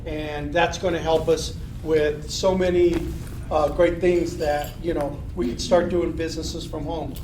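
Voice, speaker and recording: A man's voice: on the high side, little pitch movement, unhurried, a little mellow. A man in his fifties, a city manager from southern Colorado, giving an earnest, unamplified speech at a meeting, with repeated rallying phrases and frequent fillers. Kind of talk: public speech to a business meeting. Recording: echoey room, speaker far from mic, faint hiss.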